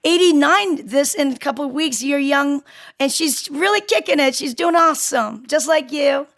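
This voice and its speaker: A woman in her late fifties from Korea hosts a conversational interview. Her voice is notably high for her age. She speaks earnestly and at length, feeling her way through each phrase. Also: moderate pace, a bit bright. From Seoul, South Korea. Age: late 50s. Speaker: show host interviewing a guest.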